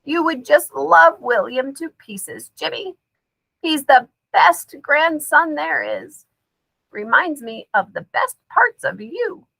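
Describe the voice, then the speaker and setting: A woman's voice: high voice, lively intonation, slow, creaky, pushed and bright, no room sound, voice pushed up, exaggerated voice, low-quality recording. A woman voicing an eerie old grandmother speaking through someone else, wistful and pleading, in a storybook reading.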